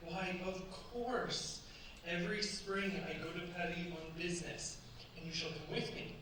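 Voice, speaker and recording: An actor playing an eager, doting young French bridegroom in a staged radio play, with a courtly, effusive manner. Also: male voice, sits high, fairly even intonation, normal speed, natural voice. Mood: tender, eager. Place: staged performance in a cultural centre. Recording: very echoey hall, distant mics, faint hiss.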